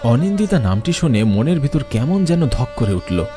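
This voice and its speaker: A man's voice: medium pitch, very animated, moderate pace. A man narrating a first-person horror story in a dramatic, suspenseful way. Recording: music bed under the voice.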